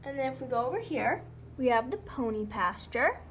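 A woman giving a casual guided tour of her model horse barn, listing each horse in a steady run of "and then". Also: high-pitched, moderate pace, a little hiss or hum. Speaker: model horse hobby vlogger. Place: indoors in a home room beside a toy horse barn.

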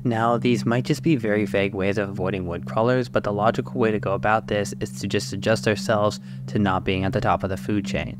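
A man's voice: on the low side, steady tone, a little fast, few pauses, noisy background. A man hosting a scripted, analytical video essay, narrating step by step as a voice-over. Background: music behind the voice.

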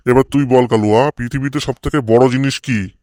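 A man's voice: medium pitch, steady tone, brisk, a little mellow, dry recording, clean studio sound, close to an everyday voice. A man voicing a stern, easily offended village tuition teacher in a comedy cartoon. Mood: bossy, questioning. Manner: questioning and bossy, scolds pupils.

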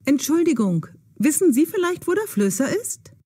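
An actress playing a curious, slightly puzzled young woman in an audio story, with a soft husky voice. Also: high-pitched, big pitch swings, normal speed. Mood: polite. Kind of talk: German learner audio drama acting.

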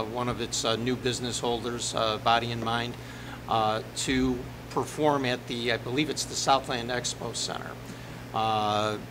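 Middle-aged man, village attorney, formal explanation, halting delivery, board room microphone, clear background noise. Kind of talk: formal explanation of municipal ordinances. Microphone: desk microphone on the dais. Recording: noisy meeting room with ventilation rumble.

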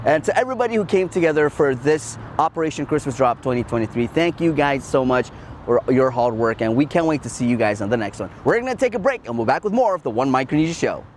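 A man's voice: mid-range voice, fast, slight background noise. A man hosting a TV show, narrating segments in an upbeat presenter style. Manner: upbeat, lively presenter delivery. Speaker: show host. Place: outdoors by the sea.

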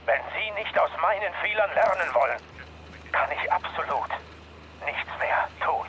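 An actor playing a weary, bitter military officer in a game, speaking gravely through a radio filter. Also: male voice, sits high, animated. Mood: grave, resigned. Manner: slow, grave, deliberate. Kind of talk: dubbed game acting. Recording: muffled noisy radio transmission.

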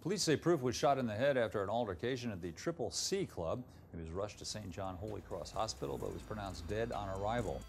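A man reads a news report at a quick pace in a lowish voice. The clip has clear background noise. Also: animated.

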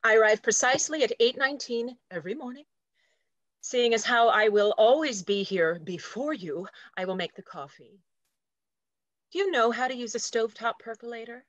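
A woman's voice: on the high side, normal speed, no echo, lo-fi sound, lightly acted. A woman playing a prim, territorial junior executive assistant in a stage play reading, with a precise, officious, matter-of-fact delivery. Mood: curt, officious. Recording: dry video call.

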